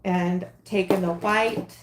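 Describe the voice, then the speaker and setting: A woman's voice: lowish voice, fairly even intonation, slow pace, echoey room, background noise. A woman in her late forties hosting a casual crafting livestream, talking in a rambling, chatty way with frequent ums and giggles. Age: 40s.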